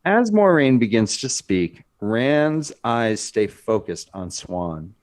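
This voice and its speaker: A man's voice: mid-range voice, very animated, slow pace, darkish tone. A man narrating stage directions as scripted narration in a screenplay table read.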